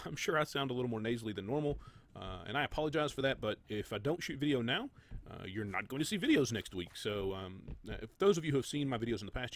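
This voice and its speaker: A man's voice: medium pitch, slight background noise. A man hosting casual gameplay commentary, sounding nasal from a head cold, chatty and rambling as he corrects himself. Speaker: gaming channel host. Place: home room with doors open.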